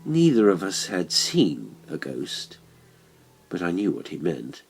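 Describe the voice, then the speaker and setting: A man narrating a horror story for an audiobook in a measured, deliberate, dramatic way. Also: low voice, huge pitch swings, gravelly, breathy edge.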